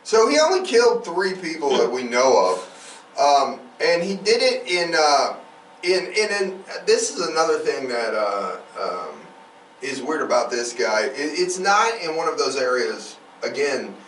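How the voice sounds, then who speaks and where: Casting: male podcast co-host, very lively pitch swings, conversational and rambling, recorded a bit far from the mic in an echoing room. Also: a little slow. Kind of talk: casual conversational true-crime chat. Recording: roomy, distant mic, faint noise.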